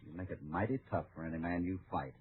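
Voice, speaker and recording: An actor playing a calm, confident cowboy hero with a dry, easygoing manner in an old-time radio western. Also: male voice, low-pitched, quick pace, husky, phone-quality sound. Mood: calm, wry. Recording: noisy, thin old radio recording.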